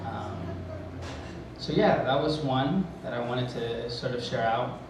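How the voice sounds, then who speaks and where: A young man born in Mexico whose first language is Spanish, telling a personal story as a high school teacher at a live event, pausing often with fillers. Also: noisy background. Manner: hesitant, many pauses and fillers, mixes in Spanish. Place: event hall. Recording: echoey hall, mic far off.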